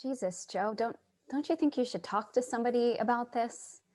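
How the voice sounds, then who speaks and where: A woman's voice: fairly high pitch, normal speed, dark tone, natural voice. An actress playing a troubled, earnest loner woman, hesitant and dramatic, in a staged play reading. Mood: shocked, distressed.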